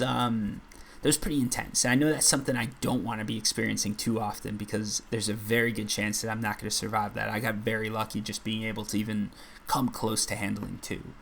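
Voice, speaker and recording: A man with a low, raspy, gravelly voice talks casually over his gameplay, rambling from one point to the next and poking fun at himself. A faint hiss sits under the recording. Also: a bit bright. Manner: rambling, self-deprecating, chatty. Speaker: gaming channel host.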